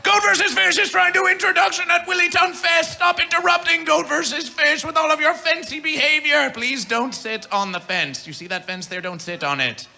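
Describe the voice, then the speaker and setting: A man working as a festival emcee, declaiming grandiose mock-prophetic hype from the stage in a drawn-out, theatrical way. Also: very high voice, creaky, pushed and bright.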